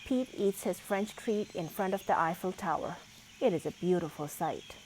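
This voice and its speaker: A woman's voice: fairly low pitch, animated, moderate pace, a little mellow, a little hiss or hum, low-quality recording. A woman reading a children's picture book aloud as a storyteller.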